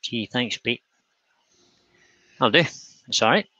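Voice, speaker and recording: A man's voice: medium pitch, expressive, slow, sharp tone, dry recording, muffled recording. A man hosting a live woodturning demonstration in casual, chatty style. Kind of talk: casual chat while demonstrating.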